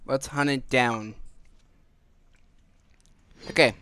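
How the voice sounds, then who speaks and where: A man's voice: mid-range voice, steady tone, very slow, sharp tone, a little hiss or hum. A man giving casual let's-play commentary as he hosts a gaming video. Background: faint game music.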